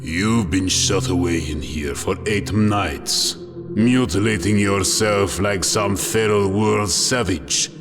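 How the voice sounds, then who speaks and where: An actor playing a cruel, mocking space marine who taunts with a sneer in a dramatic audio story. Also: male voice, sits low, slow pace. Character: cruel, mocking, sadistic male Night Lord space marine. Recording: long cavernous reverb, music underneath.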